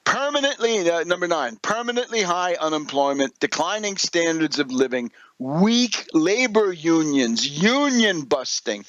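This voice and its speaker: A man's voice: on the high side, fairly bright tone, no room sound, muffled recording. An older American man giving unscripted political radio commentary, lecturing emphatically through his points and checking in with short tag questions. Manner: emphatic, didactic, often tags points with "right?".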